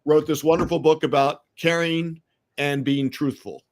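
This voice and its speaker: A man's voice: fairly high pitch, fairly even intonation, normal speed. A middle-aged man with an American accent, a guest in a casual interview, telling stories with many fillers and restarts. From United States. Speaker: podcast guest, entrepreneur.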